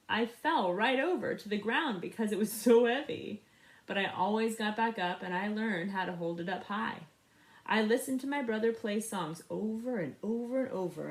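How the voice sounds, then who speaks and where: A woman reading a picture book aloud in an expressive, animated way for young listeners. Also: medium pitch, reverberant. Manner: animated, pauses to ask young listeners questions. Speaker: storybook reader. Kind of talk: expressive children's read-aloud.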